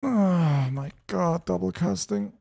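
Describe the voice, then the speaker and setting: A man from Europe streaming a game, reading chat aloud and answering it between quick asides about his play. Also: high-pitched, creaky, dark tone, breathy edge. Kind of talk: casual gaming stream chat.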